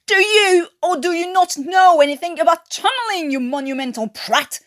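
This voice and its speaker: Woman voicing an outraged soldier, very high-pitched scolding. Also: expressive, normal speed, a bit bright. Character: sarcastic quiet Welsh soldier, exploding in outrage. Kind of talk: amateur audiobook character reading.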